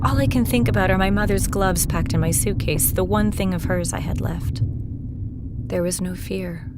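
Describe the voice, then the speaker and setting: An actress playing a haunted young nurse, narrating her ordeal gravely and vividly in a first-person audio drama. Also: medium pitch, natural voice. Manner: grave, measured, vivid storytelling. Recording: storm noise loud behind the voice.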